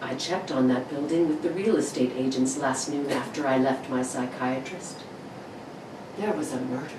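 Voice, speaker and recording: A woman's voice: rumbling low, moderate pace. A woman playing an unnerved, sleepless, eerily intense man in a 1940s-style suspense radio drama, theatrical and brooding. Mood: eerie, brooding. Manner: theatrical, brooding, nervous laughter. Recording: roomy, distant, faint background noise.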